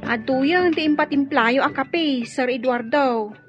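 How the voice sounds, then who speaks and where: A woman's voice: high voice, unhurried. A woman playing a polite, dutiful young household helper in a radio drama, speaking deferentially. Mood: obliging. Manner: deferential, obliging. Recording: dull lo-fi audio, music behind.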